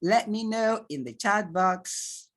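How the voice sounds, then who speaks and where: A man's voice: high-pitched, slow, fairly bright tone. A man with a Nigerian accent giving an online training lecture to parents, asking rhetorical questions and laughing often. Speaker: tutor.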